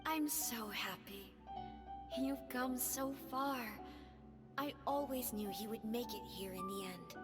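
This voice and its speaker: An actress playing a gentle, affectionate female former goddess who speaks softly with a light teasing touch in a game cutscene. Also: fairly high pitch, takes it slowly, big-room sound, music in the background. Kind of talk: video game cutscene voice acting.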